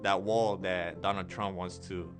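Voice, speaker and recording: A man in his twenties, giving a slow, deliberate testimony in a documentary interview. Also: deep voice, steady tone. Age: 20s. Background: music.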